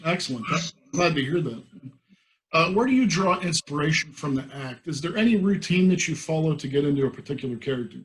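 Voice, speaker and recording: A man's voice: a little high, expressive, fairly quick, poor audio. A man hosting an interview, asking questions casually with short reactions and stammered restarts like an eager fan. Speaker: show host and interviewer.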